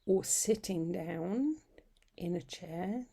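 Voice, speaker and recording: A woman leading a guided meditation as a yoga nidra instructor, soft, breathy and husky, soothing and unhurried, with long pauses between short phrases. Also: lowish voice.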